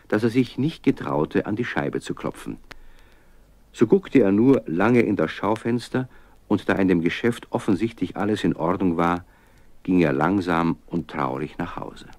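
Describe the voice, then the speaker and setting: A man narrating a children's radio play as a calm, measured storyteller. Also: low voice, no room sound.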